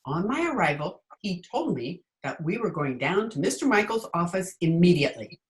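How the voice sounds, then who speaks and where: A woman playing a quick-witted schoolteacher in an old-time radio comedy, with a dry, wisecracking delivery. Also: lowish voice, big pitch swings, poor audio. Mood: wry. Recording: remote home recording over video call.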